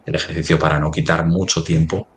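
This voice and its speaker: A man presenting a live software demonstration in a webinar, haltingly thinking aloud as he works on screen. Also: extremely low pitch, flat delivery, a little hiss or hum.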